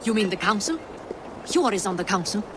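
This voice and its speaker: An actress plays an earnest Norse woman, a loyal but worried ally in a video game. Her voice sits at a medium pitch, with loud outdoor noise all around it. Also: lively intonation, brisk, lightly acted. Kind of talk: video game cutscene acting.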